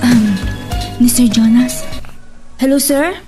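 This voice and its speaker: A woman's voice: fairly high pitch, slow pace, music in the background. A woman acting in a radio drama as an eager, deferential secretary. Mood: obedient. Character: deferential, eager female secretary answering her boss.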